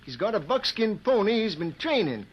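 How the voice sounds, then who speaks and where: An actor playing a genial, folksy ranch owner with cowboy talk in an old radio western, on a dull, noisy recording. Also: male voice, high voice, sing-song, normal speed. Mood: folksy, curious.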